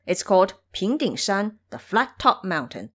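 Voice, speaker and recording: A woman's voice: medium pitch, big pitch swings, a little slow, a bit bright, husky, heavily acted. A woman voicing an exasperated male woodcutter in an audio drama, scolding and blunt with emphatic delivery. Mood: grim warning.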